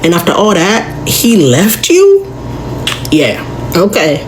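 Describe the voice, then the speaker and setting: A woman's voice: medium pitch, wildly swooping pitch, noisy background. A woman giving sarcastic commentary, firing rhetorical questions at a scam victim. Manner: sarcastic, rapid-fire questioning. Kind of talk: reaction commentary video.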